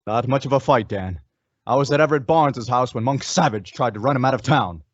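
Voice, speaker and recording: An actor playing a calm, resolute western hero in an old-time radio style, measured and instructive. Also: male voice, mid-range voice, no echo, dull audio, natural voice.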